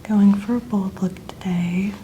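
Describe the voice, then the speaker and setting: A woman speaking in a soft, breathy half-whisper, gently and casually explaining as an ASMR makeup artist at work. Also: mid-range voice, steady tone, a little slow, slight background noise, rough recording.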